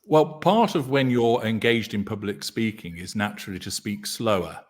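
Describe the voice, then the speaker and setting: A man with a deep baritone voice, talking as a guest in a measured, deliberate way with dry dark humor. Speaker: guest commentator. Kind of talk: casual chat.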